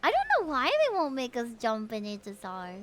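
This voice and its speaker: A woman livestreaming a game, chatting with viewers in an excitable, playful way full of cutesy exclamations. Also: high voice, normal speed, faint music behind. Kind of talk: live game streaming commentary with chat.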